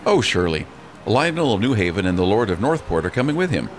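A middle-aged man playing a gruff, protective lord and father in a radio drama, blustering and grumbling with emphasis. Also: fairly low pitch, sing-song, fast talker. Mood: stern. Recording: clearly noisy outdoor ambience.

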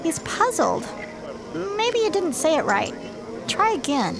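An actress playing an eager, curious scientist on an alien expedition, earnest and deliberate, in a radio drama. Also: female voice, very high-pitched, big pitch swings, vocal fry, over music, heavily acted.

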